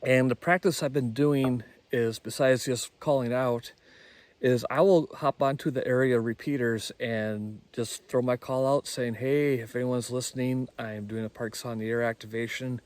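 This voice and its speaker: A man's voice: medium pitch, steady tone, moderate pace, darkish tone, dry recording. A man speaking casually as an amateur radio operator hosting a hobby video. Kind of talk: casual hobby vlog talk and radio calling.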